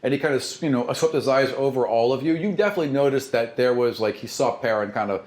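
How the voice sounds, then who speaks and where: A man's voice: mid-range voice, fast, a little mellow, reverberant. A man working as game master, narrating a tabletop game in a casual, conversational way and repeating phrases for emphasis.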